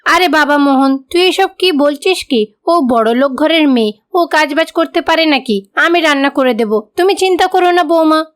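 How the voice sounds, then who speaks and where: A woman's voice: high voice, normal speed, close to an everyday voice. A woman voicing a bossy, greedy, scheming middle-aged mother-in-law in a cartoon. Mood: fawning. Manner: domineering, argumentative.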